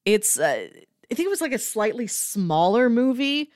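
A woman co-hosting a podcast in a casual, informal chat, describing things in a conversational and animated way. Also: a little high, moderate pace, dry recording, studio quality. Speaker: podcast co-host. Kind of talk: casual chat, pitching movies.

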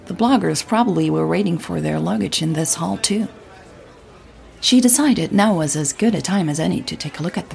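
A woman reads a scripted audio story in a fairly low voice with a lot of vocal fry. Her delivery is measured and understated, with a wry storyteller's touch. Faint music plays behind her. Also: a little mellow. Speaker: audio drama narrator.

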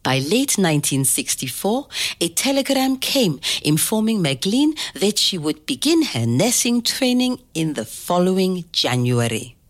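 Slow, expressive storytelling grandmother. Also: lowish voice. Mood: reflective. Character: warm, proud grandmother telling her life story to grandchild. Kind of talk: audio drama storytelling.

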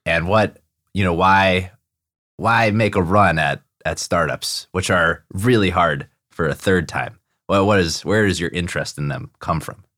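A young adult man hosting a podcast, reading a scripted introduction and then interviewing a guest in a measured, friendly way. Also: low-pitched, fairly bright tone, dry recording, studio quality. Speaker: podcast host.

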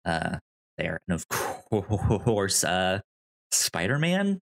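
A man co-hosting a casual pop culture podcast, talking in a halting, rambling, informal way. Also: deep voice, very animated, vocal fry. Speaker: podcast co-host.

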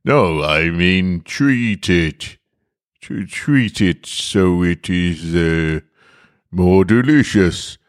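A very low, gruff storybook bear in disguise, acted out by a male reader. He bumbles through his bluff in halting phrases, stumbling over words. The sound is dry and close. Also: lively intonation, no echo, heavily acted. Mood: nervous bluffing.

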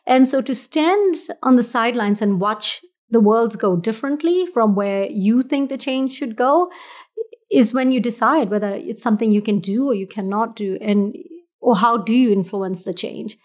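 A woman's voice: fairly high pitch, moderate pace, a little mellow. A woman from Fiji, a business founder, talking earnestly and reflectively in a casual interview, often restarting her sentences. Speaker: entrepreneur guest.

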